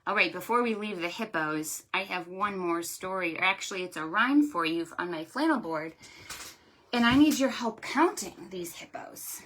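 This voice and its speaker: A woman hosting storytime for preschoolers in an exaggerated sing-song way, encouraging them and pausing after her questions. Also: mid-range voice, expressive, fairly bright tone. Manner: sing-song, exaggerated delivery for small children. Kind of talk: interactive storytime for young children, counting rhymes.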